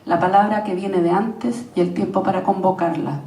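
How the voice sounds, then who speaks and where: A Chilean playwright and actress reading a prepared text at a panel, measured and reflective, pausing through long lists. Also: female voice, medium pitch, warm tone, recorded from a distance, a little hiss or hum. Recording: echoey room, voice from off-mic.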